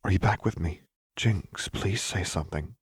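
A man's voice: low voice, moderate pace, husky, no echo. A man voicing a caring, worried young woman who is earnest and reassuring, in an audiobook.

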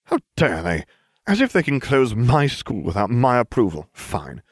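A man's voice: medium pitch, sing-song, normal speed, slightly rough, no room sound, studio quality, pitched above the performer's own voice. A man voicing an arrogant, scheming schoolboy wizard who talks in a haughty, self-satisfied way.

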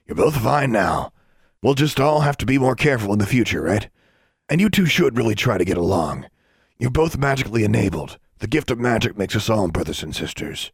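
Man playing a weary, patient schoolmaster, lively pitch. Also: fairly quick, slightly rough, dry recording, clean studio sound, exaggerated voice. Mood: weary lecturing. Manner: patient, lecturing. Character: weary, mild, well-meaning adult male school staffer. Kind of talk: audiobook character voice.

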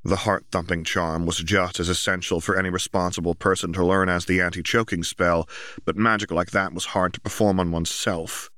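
Low-voiced man narrating a story. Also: level tone, a little fast, sharp tone, dry recording, studio quality. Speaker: audiobook narrator.

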